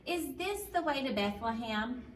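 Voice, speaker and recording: A woman's voice: sits high, expressive, measured pace, pitched above the performer's own voice. A woman voicing a courteous, regal king in a children's storybook, with a solemn, royal manner. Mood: stately, inquiring. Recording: roomy, distant mic, faint noise.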